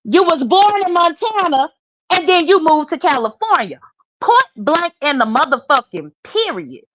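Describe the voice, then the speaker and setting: Dull, lo-fi call audio carries a high-pitched woman with a Southern US accent. She is blunt and combative, arguing in casual, profane language like a heated panel guest. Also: big pitch swings, moderate pace, fairly bright tone. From Mississippi, United States. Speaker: panel guest on a live online show.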